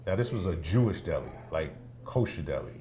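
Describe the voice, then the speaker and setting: A middle-aged man from Brooklyn with a New York accent, telling stories in a relaxed chat and leaning on "you know" fillers. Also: sits low, a little fast. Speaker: music video show host and party promoter. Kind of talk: casual conversation. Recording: faint room ambience.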